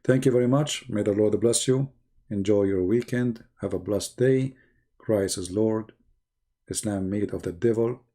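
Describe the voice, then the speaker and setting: A man's voice: on the low side, measured pace, dark tone. A man rambling sarcastically as host of a livestream chat, full of "you know" fillers. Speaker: channel host. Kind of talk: joking livestream chat with viewers.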